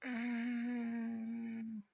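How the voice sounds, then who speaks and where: A woman's voice: a little high, completely flat delivery, drawn-out words, gravelly, darkish tone, dull audio. A woman livestreamer chatting casually while she draws, often muttering to herself as she works. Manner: mutters to self while working. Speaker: livestreamer and webcomic artist.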